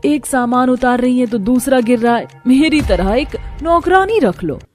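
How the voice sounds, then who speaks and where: Woman playing a haughty rich young daughter-in-law, high voice. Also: expressive, moderate pace, soft background music. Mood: mocking. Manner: boastful, condescending.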